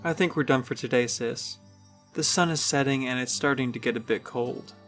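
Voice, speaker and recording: A man's voice: mid-range voice, moderate pace, fairly bright tone, faint music behind, close to an everyday voice. A man voicing an easygoing young male pony, a caring big brother in a story. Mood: friendly.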